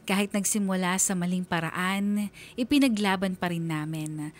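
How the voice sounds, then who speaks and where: A woman telling a first-person love story on radio in an emotive, confessional, dramatic style. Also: medium pitch. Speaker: radio storyteller reading a listener's letter. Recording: music under the voice. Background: background music.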